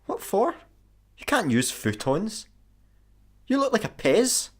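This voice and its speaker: A man's voice: high voice, slow, husky, full character voice. Man as a daft Scottish slacker, very swooping delivery. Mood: bemused, cheeky. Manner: casual, deadpan, obtuse. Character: daft, easygoing, literal-minded male slacker janitor. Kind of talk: sci-fi comedy radio play.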